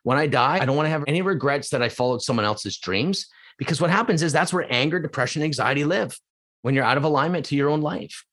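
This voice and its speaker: A middle-aged man from Canada, a coach being interviewed, talking emphatically with rhetorical questions and swings between excited and quiet reflection. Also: a little high, fast. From Canada (Edmonton). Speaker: real estate coach, guest. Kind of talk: casual podcast interview.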